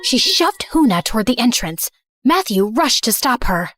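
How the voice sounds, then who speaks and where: A woman acting as a guilt-ridden teenage girl telling her own story. The voice is fairly high and very dry, with a confessional, dramatic first-person narration. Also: big pitch swings, normal speed, fairly bright tone, no room sound. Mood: narrating. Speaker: narrator and main character.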